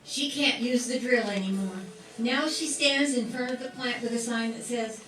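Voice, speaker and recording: Woman acting a bright, high-pitched wartime factory worker. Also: normal speed, natural voice. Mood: proud, friendly. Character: proud, chatty young 1940s munitions worker woman. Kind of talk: amateur radio play acting. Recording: echoey room, distant mic, faint music.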